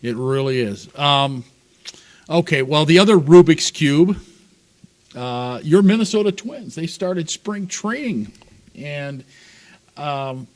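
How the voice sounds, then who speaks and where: A man's voice: a little high, expressive, slow pace, long pauses. A man co-hosting a sports talk show, asking skeptical questions in casual banter.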